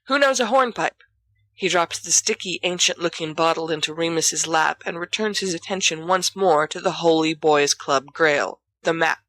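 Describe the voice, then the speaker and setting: A woman's voice: low-pitched, steady tone, moderate pace, hardly any pauses, fairly bright tone, dry recording. A woman reading a scripted story aloud as an audiobook narrator. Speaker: volunteer audiobook reader.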